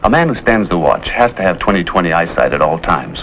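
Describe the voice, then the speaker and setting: A man's voice: low-pitched, lively intonation, a little fast, muffled recording. An actor playing a firm, fatherly middle-aged American Navy officer, explaining with authority in 1950s television drama style. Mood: stern, instructive. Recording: faintly noisy 1950s television soundtrack.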